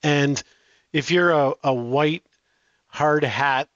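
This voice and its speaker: A middle-aged man answering interview questions in a relaxed, halting way, with many restarts and fillers. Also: fairly high pitch, dry recording, highs cut off. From Canada. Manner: hesitant, frequent restarts and fillers. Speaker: guest.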